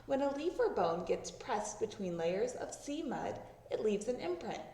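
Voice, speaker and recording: A woman reading a children's story aloud, with expressive narration that acts out the words. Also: a little high, a little slow. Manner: expressive, acting out sound words. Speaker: storybook reader. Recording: roomy echo, distant microphone.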